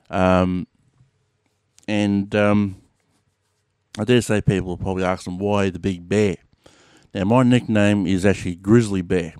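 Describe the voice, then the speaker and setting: A man's voice: sits low, a little mellow, clean tone, dry recording. A man with an Australian accent hosting his podcast in a casual monologue, rambling with lots of fillers and the odd swear word. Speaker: podcast host. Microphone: podcast mic.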